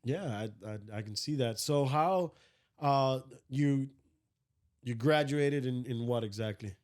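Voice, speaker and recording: A man's voice: mid-range voice, slow pace, a little mellow. Cuban man hosting a casual podcast. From Cuba. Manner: friendly, affirming, says bro a lot. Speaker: podcast host. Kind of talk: casual interview talk.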